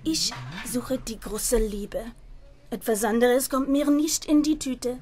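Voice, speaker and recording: A woman's voice: on the high side, takes it slowly, noisy background. An actress playing a romantic, nervous young woman in a radio drama. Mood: dreamy, romantic. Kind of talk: German radio drama acting.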